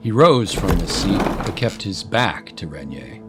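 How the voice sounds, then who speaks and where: A man's voice: deep voice, sing-song, measured pace, loud music behind the voice. A man narrating a scripted dramatic historical story as its narrator.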